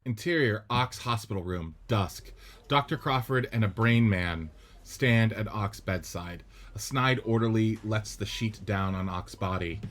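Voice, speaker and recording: A man narrating the stage directions of a radio play script in a steady, descriptive way. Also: on the low side, unhurried.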